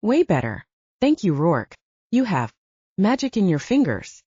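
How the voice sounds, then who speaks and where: Woman acting a frank, teasing heroine, animated. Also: mid-range voice, a little slow, breathy edge, no echo, highs cut off, heavily acted. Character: candid, teasing young woman, romance heroine.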